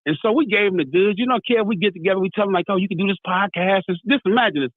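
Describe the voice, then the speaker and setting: A man's voice: high voice, rapid-fire, no room sound. An American man talking in an impassioned, casual debate as a radio talk host, telling stories and acting out dialogue. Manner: storytelling, acts out dialogue, repeats "you know what I mean". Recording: tinny phone-like sound.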